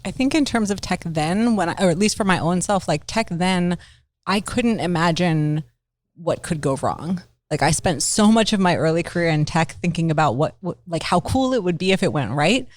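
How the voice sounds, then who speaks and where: A woman from Baltimore talking casually as a podcast guest, full of "like" and quick laughs. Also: fairly low pitch, animated, no echo. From Baltimore, Maryland. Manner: chatty, lots of "like", laughs while telling stories. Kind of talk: casual interview talk.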